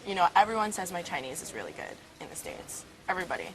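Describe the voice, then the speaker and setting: A woman's voice: fairly low pitch, huge pitch swings, quick pace. A woman of twenty-one from Brooklyn, New York, speaking casually in an interview and acting out the exchanges she describes. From Brooklyn, New York City. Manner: chatty, acts out quoted exchanges, says "like" often. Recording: noisy background.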